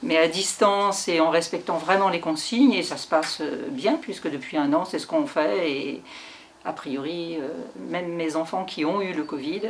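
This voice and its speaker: An older woman, a grandmother just vaccinated, speaking calmly and carefully in an interview. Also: low voice, normal speed, slight background noise. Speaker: vaccinated resident. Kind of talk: interview answer.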